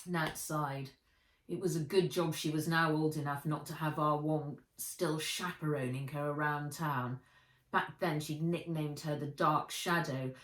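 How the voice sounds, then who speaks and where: A woman with a slightly low voice reads an adventure story for children. She is expressive and sounds set back from the microphone in a roomy, echoing space. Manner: expressive, acts out the dialogue. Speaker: author reading her own book aloud. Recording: roomy echo, distant from the mic.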